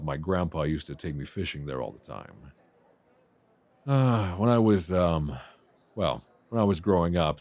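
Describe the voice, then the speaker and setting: A man's voice: rumbling low, darkish tone, exaggerated voice. A man playing a chatty, easily flustered, flirtatious airline passenger in a romance roleplay audio, rambling and hesitating in a self-deprecating way. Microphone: close binaural microphone.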